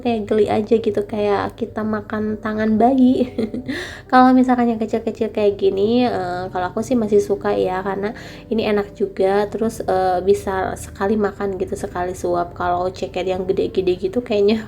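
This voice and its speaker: A woman's voice: medium pitch, creaky, mellow, slight background noise. A young woman with a nasal, stuffy voice from a cold, chatting casually in a vlog voice-over recorded at home. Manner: chatty, addresses viewers often as friends. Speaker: vlogger selling meatballs from home. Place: home, recorded at night after the day's filming. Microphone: voice-over dubbed separately.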